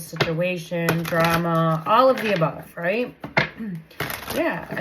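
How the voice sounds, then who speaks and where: A woman's voice: mid-range voice, background noise. A woman hosting a casual tarot reading, chatty and meandering, repeating her phrases, and still recovering from a cold. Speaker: tarot reader host.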